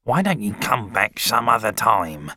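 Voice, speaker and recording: A man's voice: lowish voice, sing-song, measured pace, bright, forceful tone, a little hiss or hum, pitched above the performer's own voice, full character voice. A man voicing a rude, curt middle-aged father in an audiobook, brusque and dismissive.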